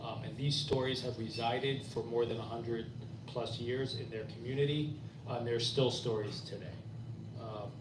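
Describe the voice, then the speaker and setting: A man co-editing a book, giving measured opening remarks with frequent fillers at a bookstore author event. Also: mid-range voice, steady tone. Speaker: book co-editor. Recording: roomy, far-off mic, ambient noise.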